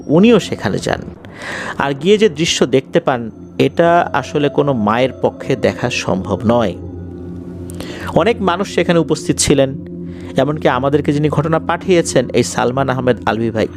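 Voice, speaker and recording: A man narrating a ghost story as host, in a conversational, dramatic and deliberate way that repeats phrases for suspense. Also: mid-range voice.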